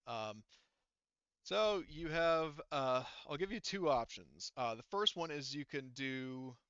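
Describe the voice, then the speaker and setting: A man acting as game master for a tabletop session, with a bright, clear voice and a close, dry sound. He talks casually, working things out aloud and swearing here and there as he narrates. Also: on the high side, expressive, slow pace, no room sound, muffled recording.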